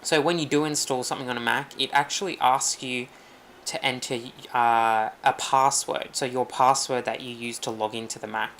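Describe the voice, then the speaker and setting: Gravelly-voiced man explaining settings. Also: medium pitch, moderate pace, slight background noise. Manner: relaxed, rambling, many ums. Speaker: tech tutorial host. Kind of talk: casual screen-recorded walkthrough.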